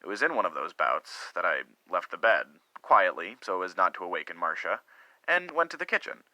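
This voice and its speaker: A man playing a guilt-ridden, lovesick middle-aged husband in an earnest, theatrical confession with dramatic pauses, like a staged reading of a story. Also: low-pitched, sing-song, bright, forceful tone, no echo, heavily acted, low-quality recording. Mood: brooding, guilty. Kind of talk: melodramatic dramatic reading of a first-person confession.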